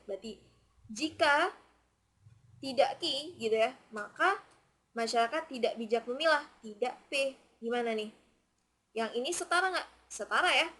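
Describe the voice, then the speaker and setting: Patient woman tutor, slightly high voice. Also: a bit bright. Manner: patient, asks questions back to the students. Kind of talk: explaining a test question.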